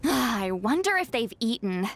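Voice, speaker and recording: Game role: worried young shaman, earnest, slightly high voice. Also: sing-song, a little slow, a little hiss or hum. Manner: worried, earnest, a touch formal. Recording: game audio over soft music.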